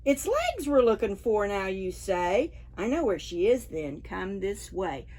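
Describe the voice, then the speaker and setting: A woman's voice: mid-range voice, big pitch swings, a little slow, darkish tone. A woman voicing an eager, helpful but muddled butterfly in a storybook read aloud for preschoolers.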